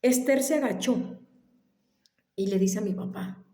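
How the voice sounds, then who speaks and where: A woman telling a family story in a casual, unhurried way, halting now and then and dropping into soft whispered asides. She mentions she has allergies. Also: medium pitch. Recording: echoey room.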